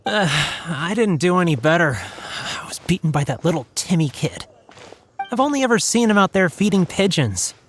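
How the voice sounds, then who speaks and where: A husky young card player grumbles casually in a fantasy game. His voice moves up and down in a lively way. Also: high voice, normal speed, heavily acted. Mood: deflated. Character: ordinary young amateur card player, baffled loser. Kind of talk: animated game voice acting.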